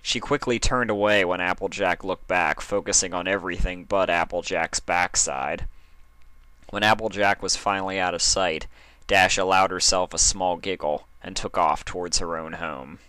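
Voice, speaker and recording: A man's voice: deep voice, steady tone, normal speed, pushed and bright, smooth, dry recording. A man reading scripted fiction aloud as the narrator of a story.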